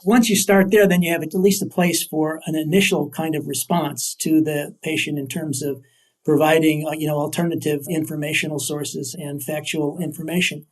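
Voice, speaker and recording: A man answering as an academic interview guest, building long, careful sentences with deliberate, hesitant phrasing. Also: a little high, level tone.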